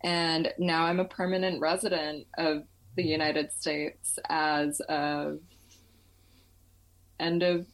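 Young woman guest, low pitched, chatty and loose, lots of "like" and "um". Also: flat delivery, slow, rough recording. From Malaysia. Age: 20s. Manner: chatty, filler-heavy, laughs often. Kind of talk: casual interview chat.

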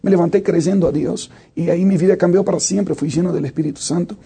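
A man's voice: high-pitched, quick pace, vocal fry, warm tone, breathy edge. A man, a worship leader answering interview questions reflectively and with frequent hesitations. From Brazil. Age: 30s.

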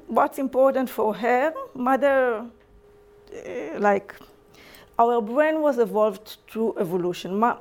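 Israeli woman lecturing in halting English. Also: a little high. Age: young adult. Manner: halting, self-correcting non-native English with restarts. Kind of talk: public lecture. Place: lecture room.

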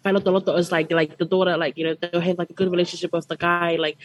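A woman's voice: fairly low pitch, little pitch movement, fast, dry recording. A young woman student guest, chatty and rambling, full of likes and ums. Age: young adult. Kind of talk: casual radio chat.

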